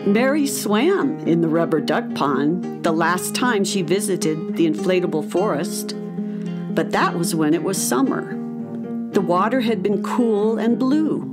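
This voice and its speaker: Woman reading a kids story as narrator, slow and really expressive, guitar music going behind her. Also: lowish voice. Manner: expressive, clearly phrased storytelling. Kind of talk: scripted storybook narration for children.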